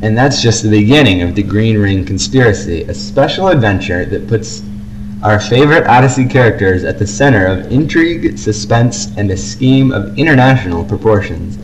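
Low-voiced young man, noisy recording. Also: lively intonation, normal speed. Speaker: podcast co-host. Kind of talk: casual fan podcast chat. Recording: noisy amateur home podcast recording.

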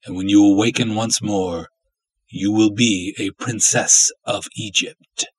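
A man voicing an obsessive, lovestruck villainous cultist in a dramatic story reading, florid, theatrical and menacing, with a husky voice. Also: on the low side, steady tone, measured pace, no room sound, rough recording. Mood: gloating, menacing.

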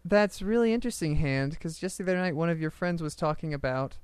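A man's voice: a little high, expressive, fast talker, darkish tone, clean tone, no echo. A man co-hosting a casual comedic chat, asking pushy questions in a silly mock-interviewer way. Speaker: co-host.

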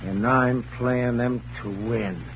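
A man's voice: mid-range voice, steady tone, slow, close to an everyday voice. An actor playing a desperate, menacing gangster gunman with slangy tough-guy talk in 1940s radio crime drama style. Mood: threatening. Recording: muffled, humming old radio recording.

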